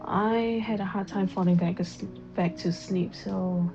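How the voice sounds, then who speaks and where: Low-key woman streamer, dull earbud mic. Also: steady tone, a little slow. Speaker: livestreamer. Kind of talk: casual stream chat while gaming. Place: at home. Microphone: wireless earbuds mic on a phone. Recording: dull lo-fi earbud mic, game music behind. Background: game music.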